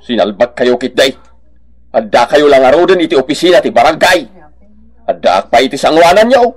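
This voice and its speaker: An actor playing an exasperated male village captain in a radio drama, scolding in a husky voice. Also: medium pitch, expressive, brisk. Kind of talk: Ilocano radio drama acting.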